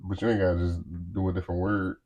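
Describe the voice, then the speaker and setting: A man's voice: sits low, little pitch movement, quick pace, background noise. An American man co-hosting a podcast, bantering casually in slangy, profane, teasing and contrary talk. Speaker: podcast co-host.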